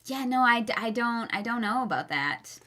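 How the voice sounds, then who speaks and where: Bright chatty woman podcast host. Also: on the high side, animated, moderate pace. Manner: chatty, lots of fillers, laughs easily. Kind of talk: casual book club chat.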